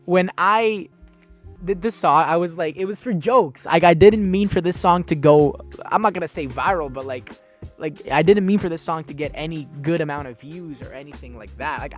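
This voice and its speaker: A young man from a Dominican and Peruvian background hosting his own podcast, rambling loosely, restarting sentences and swearing often. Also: a little high. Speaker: podcast host. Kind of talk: casual solo podcast talk.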